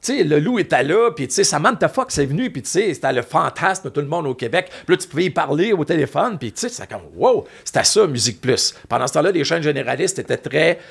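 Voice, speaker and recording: A man with a Québécois French accent telling animated, rambling anecdotes in a casual chat. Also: fairly high pitch, fast, creaky, few pauses, slightly rough. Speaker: guest, former music TV host.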